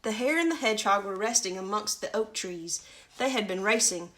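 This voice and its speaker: A woman's voice: medium pitch, animated, a little fast, fairly bright tone. A woman reading a children's storybook aloud on a livestream, chatty and informal in her asides. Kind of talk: children's picture-book read-aloud with casual asides.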